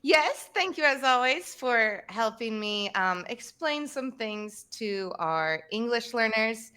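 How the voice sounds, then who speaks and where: Woman in her thirties, podcast host on a video call, slow and bright teaching voice. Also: a little high, animated. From Tennessee, United States. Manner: slow, clear explaining. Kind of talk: casual teaching chat. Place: home room, indoors. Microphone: video call, webcam.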